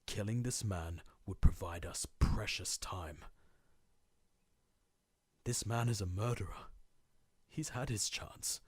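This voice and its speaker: A man's voice: fairly low pitch, takes it slowly, pauses often, husky, no room sound. A man playing a tormented, guilt-ridden diarist sinking into madness, in an intense, formal horror-game monologue. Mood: bitter, self-justifying. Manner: theatrical, formal old-fashioned diction, intense. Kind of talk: dramatic monologue, video game horror fandub.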